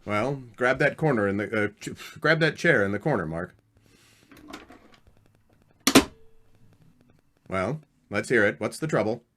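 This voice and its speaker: Man playing an earnest husband, very animated delivery. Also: on the low side, moderate pace, background noise, close to an everyday voice. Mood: casual, welcoming. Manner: questioning, hesitant. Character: earnest, uneasy husband, a book editor. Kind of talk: old-time radio mystery acting.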